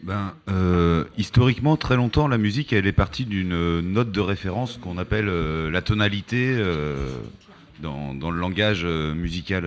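Male guest, deep voice, didactic, hesitant, faint noise behind. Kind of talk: explanatory talk on a panel.

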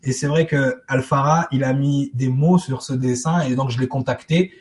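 A man's voice: mid-range voice, fairly even intonation, moderate pace, darkish tone. A man speaking informally as a guest on a live spiritual web show, acting out little exchanges as he explains. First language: French.

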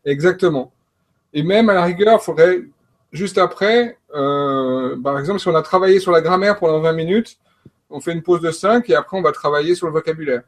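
Man, coach and trainer, somewhat high voice, halting delivery. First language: French. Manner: hesitant, many fillers and restarts. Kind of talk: panel talk on learning.

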